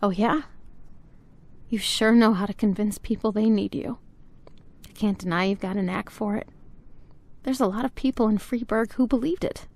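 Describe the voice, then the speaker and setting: A woman's voice: mid-range voice, normal speed, long pauses, darkish tone, natural voice. A woman playing an exasperated, biting character in a crime game drama, sardonic and reproachful. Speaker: voice actor in game cutscenes. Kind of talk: dramatic scripted dialogue.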